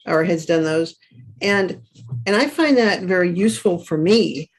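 A woman's voice: on the low side, lively intonation, unhurried, background noise. A woman talking casually as a writer, sharing her experience in conversational anecdotes. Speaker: writer on a discussion show.

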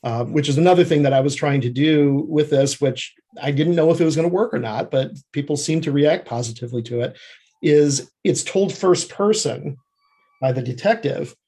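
A man's voice: a little high, normal speed, warm tone, breathy edge. A man speaking as an interview guest, explaining his writing work in a conversational way. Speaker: guest screenwriter and fiction writer.

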